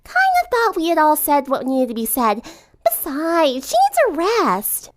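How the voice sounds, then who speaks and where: Very high, bubbly teenage girl. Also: huge pitch swings, unhurried, heavily acted. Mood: breezy. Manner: chirpy, breezy, emphatic. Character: bubbly, breezy, hyperactive teenage girl from a cartoon.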